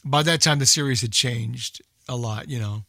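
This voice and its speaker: A man's voice: mid-range voice, normal speed, gravelly, sharp tone, no echo, clean studio sound. An older man chatting casually about old-time radio as a host and collector.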